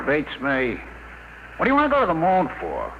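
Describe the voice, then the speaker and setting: A high-voiced wisecracking mechanic. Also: male voice, wildly swooping pitch, a little fast. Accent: American. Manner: slangy, sardonic. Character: wisecracking, skeptical working-class male rocket technician. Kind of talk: 1950s radio drama acting. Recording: noisy, muffled old radio recording.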